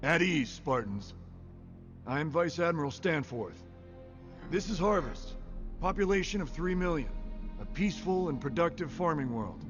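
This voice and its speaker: A man playing a grave, resolute senior naval officer, formal and measured, in an animated military sci-fi drama. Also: a little high. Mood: grave briefing. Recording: slightly dull, music behind.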